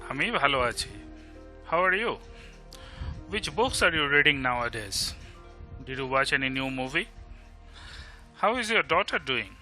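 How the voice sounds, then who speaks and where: A man with an Indian Bengali accent playing a caring, teasing young male friend in a bilingual audio drama, asking after someone and gently chiding. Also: mid-range voice, very animated, fairly quick, pauses often, faint music behind. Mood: friendly, nagging. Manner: solicitous, gently chiding, asking many questions.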